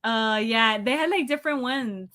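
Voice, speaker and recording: A woman co-hosting a casual chat, telling things informally and haltingly, often repeating her words. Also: a little high, sharp tone. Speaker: podcast co-host.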